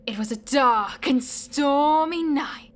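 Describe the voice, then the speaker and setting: Woman acting a high-voiced cheeky young daughter. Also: takes it slowly, a little hiss or hum. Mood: teasing, mock spooky. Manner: playful, mock-dramatic. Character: teasing, cheeky young adult daughter living at home. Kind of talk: amateur radio play acting.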